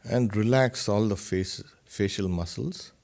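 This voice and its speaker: Slow, low-voiced man guiding meditation. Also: vocal fry, darkish tone. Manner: short instructions in measured, separated phrases. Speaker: spiritual teacher, meditation guide. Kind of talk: guided meditation instruction.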